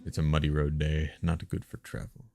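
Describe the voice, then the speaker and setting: A man live streaming a text game, musing out loud in short casual phrases and sometimes reading the game text. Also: rumbling low, monotone, moderate pace, gravelly, soft background music. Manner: musing, muttered short phrases, thinking aloud.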